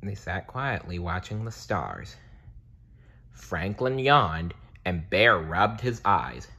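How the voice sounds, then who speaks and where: A man narrating a children's storybook aloud in a deliberate, expressive read-aloud style. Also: low voice, husky. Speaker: storybook reader.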